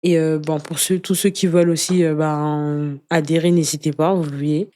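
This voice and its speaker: An activist woman speaks as a guest in a relaxed interview. Her voice sits fairly low and has a mellow, warm tone. She talks earnestly about personal commitments, often pausing and repeating small words while searching for phrasing. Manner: halting, searching for words with repetitions. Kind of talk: personal testimony in casual conversation.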